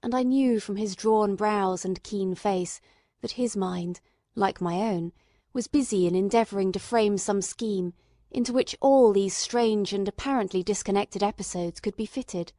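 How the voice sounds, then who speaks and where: A woman's voice: mid-range voice, measured pace, warm tone, no echo, clean studio sound. A woman reading a classic detective story as a scripted audiobook narrator.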